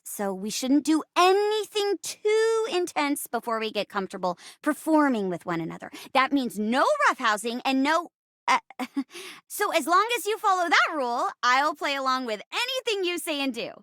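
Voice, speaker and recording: Bright, bubbly theatrical game heroine. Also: female voice, sits high, very animated, moderate pace, no room sound, studio quality, full character voice. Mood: encouraging. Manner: bubbly, theatrical, giggly, encouraging. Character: peppy female living chair, theatrical improv host. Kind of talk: video game character voice acting.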